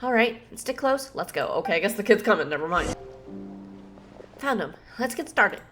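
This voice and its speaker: A woman with a mid-pitched voice comments on a game as she streams it. She is blunt and informal, swearing freely and reading dialogue aloud. Game music runs faintly behind her. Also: animated, normal speed.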